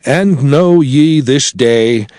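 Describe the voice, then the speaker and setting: A man playing a solemn prophet exhorting his people in a measured, declamatory scripture drama. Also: mid-range voice, darkish tone, no echo. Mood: solemn exhortation. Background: music.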